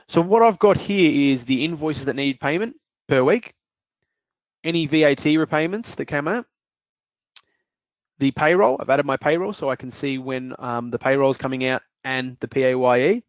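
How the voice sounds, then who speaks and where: Guy explaining software features on a webinar, lots of ums, muffled dry audio. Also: mid-range voice, no echo. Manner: explanatory, frequent fillers. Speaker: software demo presenter. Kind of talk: webinar product demonstration.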